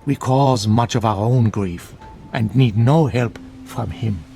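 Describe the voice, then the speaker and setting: An actor playing a grave, fervent older monk in a radio drama, speaking in formal, measured, preachy phrases. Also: male voice, mid-range voice, gravelly, dark tone, faint music behind. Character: solemn bearded older monk, fervent guardian of truth. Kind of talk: classic radio drama acting.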